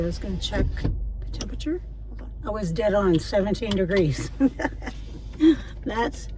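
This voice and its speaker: Raspy-voiced woman, unhurried and blunt, car recording with clear road noise. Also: mid-range voice, dark tone, recorded from a distance. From Canada. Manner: candid, frank, swears freely. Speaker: vlogger. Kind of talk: casual chat while driving. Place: inside a car. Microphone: phone or camera mounted in the car.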